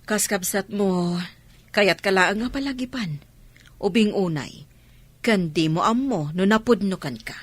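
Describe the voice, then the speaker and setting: An actress with an Ilocano Filipino accent playing a suspicious, blunt younger sister in a radio drama. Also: female voice, medium pitch, unhurried, no echo, close to an everyday voice. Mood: sceptical, warning.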